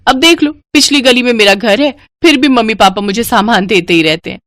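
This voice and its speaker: A woman voicing a sharp-tongued, sarcastic sister-in-law in a cartoon. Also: fairly high pitch, brisk, no room sound, clean studio sound, pitched above the performer's own voice, close to an everyday voice. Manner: taunting, bossy.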